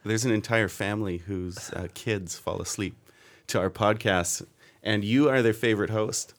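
A man's voice: fairly low pitch, moderate pace. A man hosting a casual podcast, bantering and teasing his co-hosts. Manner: jokey, upbeat banter. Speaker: podcast host.

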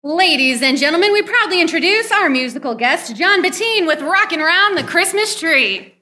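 High bright female voice, woman acting polite uneasy character, radio-style sketch comedy, live, faint noise. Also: animated, normal speed, exaggerated voice. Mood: announcing. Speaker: performer in a live variety show.

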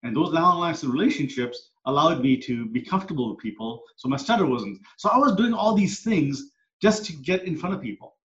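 A man's voice: a little high, expressive, fairly quick, fairly bright tone. A middle-aged man, a coach and speaker, telling his story as a podcast guest in an earnest, reflective way. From Canada, moved there at ten. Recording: echoey room, dull lo-fi sound.

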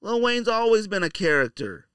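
A man's voice: sits high, sing-song, moderate pace, a bit bright, clean tone, no echo, studio quality. An American man hosting a podcast solo, talking casually in a slangy, conversational, emphatic way. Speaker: sneaker podcast host.

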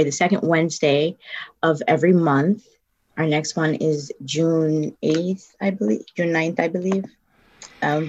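Earnest low-voiced woman organizer. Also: a little mellow. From South Bronx, New York. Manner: earnest, halting, with frequent ums and self-corrections. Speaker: community organizer, interview guest. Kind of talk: conversational interview answers.

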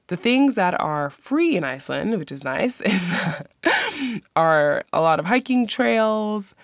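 Woman podcast host, low voice, friendly practical tips, dry close mic. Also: no echo. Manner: friendly, practical advice-giving. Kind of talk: informative travel podcast. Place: lives in the country she describes.

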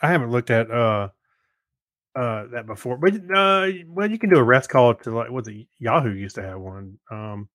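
A man with a mid-pitched voice chats casually as a podcast co-host. He is low-key and a little hesitant, meandering as he talks, and the sound is dry with no room echo. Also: animated, moderate pace, fairly bright tone.

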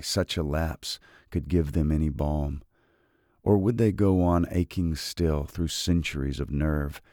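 A man hosting a literature podcast who reads classic poetry slowly and softly in a relaxed, unhurried way. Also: dry recording, studio quality. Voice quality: very deep, gravelly voice. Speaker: podcast host and reader. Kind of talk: slow, measured poetry reading.

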